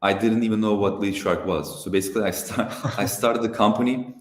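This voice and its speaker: A young man from Turkey, a software founder, talking casually as a guest on a live video call, relaxed and hesitant with many fillers. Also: lowish voice, fairly quick, roomy sound. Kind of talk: casual interview over a live stream.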